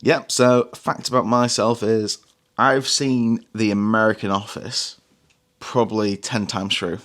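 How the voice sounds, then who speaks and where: A man's voice: lowish voice, flat delivery, measured pace, a bit bright. A man from the UK co-hosting a podcast, playful and jokey in casual chat. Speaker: podcast co-host.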